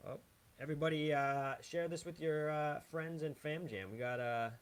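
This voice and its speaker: A man's voice: a little high, normal speed, clear, resonant tone. A man hosting a livestream, chatting loosely and hyping an upcoming guest while he checks the setup before the show. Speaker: livestream host.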